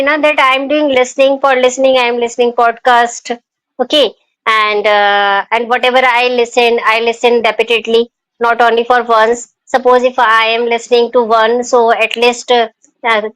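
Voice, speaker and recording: A woman with an Indian accent who hosts an English-learning podcast and speaks haltingly, with many fillers, restarts and frequent laughs. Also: fairly high pitch, flat delivery, fairly bright tone, no echo, poor audio. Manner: laughs often. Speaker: podcast host, English teacher. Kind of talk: casual conversational podcast.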